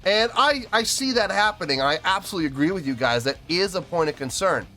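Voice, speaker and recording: A man's voice: sits high, animated, a little fast, bright, forceful tone. A man hosting music review videos, talking casually and emphatically to his viewers, often repeating phrases for stress. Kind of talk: casual spoken review to camera, addressing viewers.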